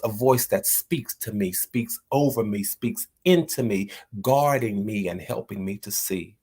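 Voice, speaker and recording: A man talking earnestly to his viewers about writing and then reading one of his own poems aloud in a slow, measured way. He encourages his listeners and often repeats words while he searches for the right ones. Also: fairly low pitch, slightly rough. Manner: slow, measured recital when reading the poem. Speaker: poet and channel host.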